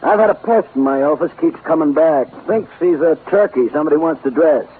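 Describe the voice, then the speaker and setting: Shady, glib operator male actor, chummy slang, animated highish delivery, vintage radio crime drama, tinny narrow sound. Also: brisk, close to an everyday voice. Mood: breezy, pushy. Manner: breezy, falsely chummy, slangy. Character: shady, glib, overfamiliar male private eye. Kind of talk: 1950s radio acting. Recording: tinny old radio recording, faint noise.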